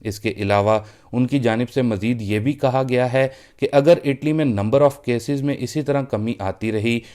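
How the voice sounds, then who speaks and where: A man's voice: medium pitch, a little mellow. A man presenting a news roundup for his online channel, reading the updates steadily and addressing viewers as friends. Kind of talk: read news update in Urdu.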